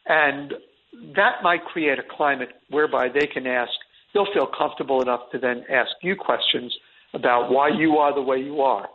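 A man's voice: medium pitch, moderate pace, a little hiss or hum. A middle-aged man speaking as an interview guest, earnest and rambling, restarting his sentences often. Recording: tinny phone line.

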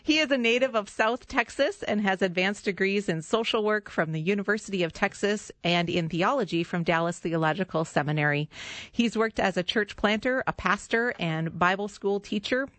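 Woman, Norwegian Minnesotan accent, radio host, friendly, laughs easily, mid pitch, dry close sound. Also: moderate pace, a bit bright, no echo. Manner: friendly, laughs easily, reads the guest's introduction.

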